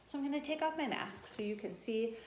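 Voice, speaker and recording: A woman preschool teacher reading a story aloud to young children, expressive and deliberate, speaking to them directly. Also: a little high. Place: empty classroom. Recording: echoey room with background noise.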